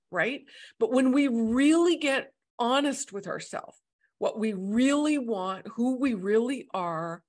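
A middle-aged woman, speaking as a host and coach in conversation on a video call. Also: a little high. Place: indoor room, video call.

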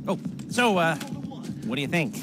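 An actor with an American accent playing a nervous, nerdy middle-aged criminal planner in a video game, halting and fussy. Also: male voice, a little high, sing-song. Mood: eager, scheming. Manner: halting, fussy, chuckling awkwardly. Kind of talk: video game cutscene acting. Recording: music behind the voice.

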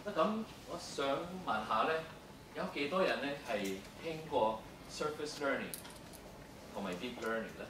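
A man's voice: medium pitch, expressive, unhurried, background noise. Man lecturing from a distant echoey room. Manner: hesitant, many fillers, switching between English and Cantonese. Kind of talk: academic lecture.

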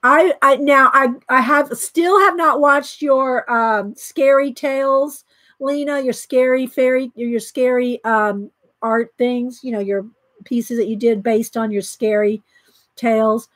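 A woman's voice: high-pitched, fairly even intonation, a little slow, a bit bright, dry recording. A woman hosting a live art stream, chatting and giggling with viewers by name while reading from a book at her craft table. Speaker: art livestream host.

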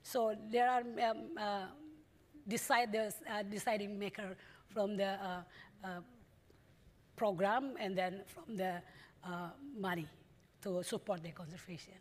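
A middle-aged woman from West Papua whose first language is Indonesian speaks as a panel guest on a large indoor conference stage. Her voice sits at an ordinary middle pitch, and she talks haltingly, with frequent hesitations and restarts. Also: steady tone. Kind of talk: panel discussion.

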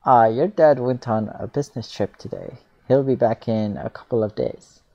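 A man's voice: medium pitch, normal speed, creaky, warm tone, lo-fi sound, natural voice. A man voicing a kindly, doting mother while reading a visual novel aloud.

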